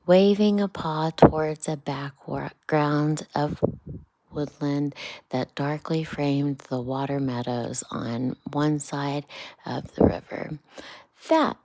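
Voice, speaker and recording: A woman's voice: sits low, steady tone, takes it slowly, warm tone. A woman reading a bedtime storybook aloud as a parent on a livestream, with casual asides.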